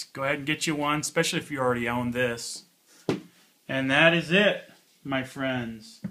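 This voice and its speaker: A man's voice: a little high, noisy background. A man giving a casual hands-on product review in an informal, plainspoken, folksy way. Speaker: product reviewer, channel host.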